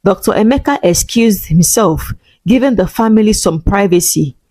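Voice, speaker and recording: A female storyteller delivers scripted dramatic narration. Her pitch sits in the middle for a woman, and the recording is very dry, with no room sound. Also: lively intonation, normal speed, warm tone, dry recording.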